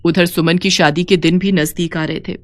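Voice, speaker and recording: A woman's voice: fairly low pitch, steady tone, moderate pace, dry recording. A woman reading scripted story narration as the narrator.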